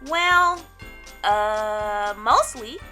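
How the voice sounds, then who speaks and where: Woman as high, hesitant little old elf. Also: lively intonation, very slow, bright, forceful tone, faint music behind, heavily acted.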